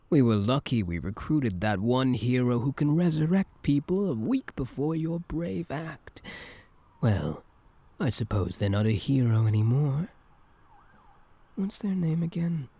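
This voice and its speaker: A man playing an obsessive, infatuated supervillain in an intimate ASMR roleplay, soft-spoken and teasing, with a breathy voice that dips into whispers. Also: mid-range voice, sing-song. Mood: smug, musing. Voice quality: breathy, gravelly, dropping at times into whispers. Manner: teasing, unhurried, falsely gentle.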